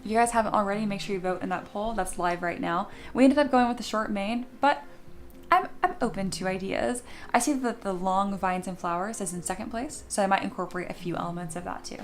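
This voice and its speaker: Woman, mid-pitched, slightly scratchy sleepy voice, informal livestream tutorial, thinking aloud, clear background music. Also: brisk. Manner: informal, chatty, talks to herself and viewers. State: sleepy, voice not yet warmed up. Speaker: 3D sculpting teacher and livestream host.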